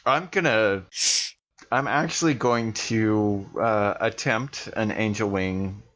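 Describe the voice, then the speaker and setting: A man's voice: lowish voice, takes it slowly, a bit bright. A man talking casually as a player in a tabletop game, thinking aloud. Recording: slightly dull sound with faint noise.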